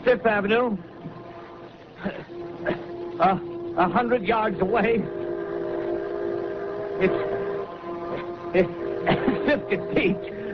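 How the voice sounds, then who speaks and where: An actor playing a doomed, shaken radio announcer reporting an invasion in halting, urgent 1930s radio drama style. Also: male voice, high-pitched. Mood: horrified, urgent. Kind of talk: 1930s radio acting, live news report. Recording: noisy, muffled 1938 radio broadcast recording.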